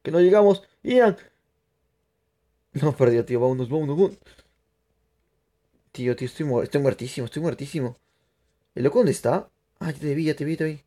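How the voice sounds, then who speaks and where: A young man from Peru, chatting excitably to viewers through live gameplay commentary. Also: fairly high pitch, a little fast, long pauses. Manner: chatty, excitable, laughs often, addresses viewers. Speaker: streamer.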